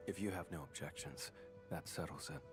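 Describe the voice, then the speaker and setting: A man's voice: a bass voice, brisk, faint music behind. A man playing a brooding, aloof investigator in a video game, terse and cold. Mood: curt.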